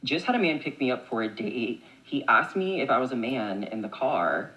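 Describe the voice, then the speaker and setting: A man's voice: mid-range voice, expressive, moderate pace, sharp tone, rough recording. A man chatting candidly to camera, telling a dating story in a casual way. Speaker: clip speaker.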